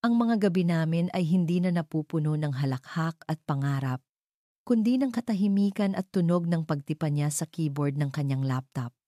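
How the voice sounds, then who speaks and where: Woman narrating drama in a mellow, low-ranging voice. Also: moderate pace, no room sound, studio quality. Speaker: story narrator. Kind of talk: dramatic scripted first-person narration of a romance drama.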